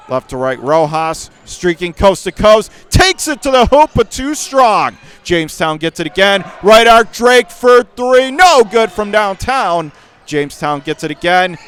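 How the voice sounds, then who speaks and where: A man doing live radio play-by-play of a basketball game in clipped running phrases, with excitable calls. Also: high voice, slight background noise. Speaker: play-by-play commentator.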